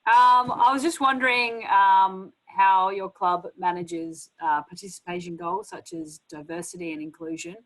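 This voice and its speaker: Lowish-voiced woman asking a question. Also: steady tone, normal speed, few pauses, fairly bright tone, rough recording. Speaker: audience questioner. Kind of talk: casual spoken question.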